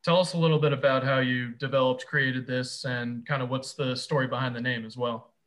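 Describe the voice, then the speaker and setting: A man's voice: mid-range voice, steady tone, a little fast, fairly bright tone. A man co-hosting a podcast, asking loose questions with frequent fillers. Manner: loose and hesitant, many fillers. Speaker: podcast co-host. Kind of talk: podcast interview and read sponsor ad.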